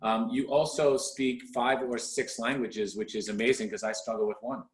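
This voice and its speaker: A man's voice: medium pitch, steady tone, moderate pace, echoey room, low-quality recording. A man hosting a podcast interview and chatting enthusiastically with his guests. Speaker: podcast host and coach. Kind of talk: casual interview chat.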